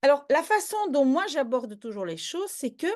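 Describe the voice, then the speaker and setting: A woman's voice: high-pitched, very animated, moderate pace, a little mellow. A woman teaching a grammar lesson in a patient, step-by-step way, often stopping to check that listeners follow. She is a native Dutch speaker. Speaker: language teacher. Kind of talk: explaining grammar in a video lesson.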